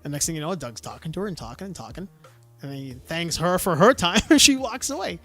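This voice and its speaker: A man co-hosting a podcast, telling a story in casual chat, animated and full of fillers. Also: a little high, fast, soft background music. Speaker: podcast co-host.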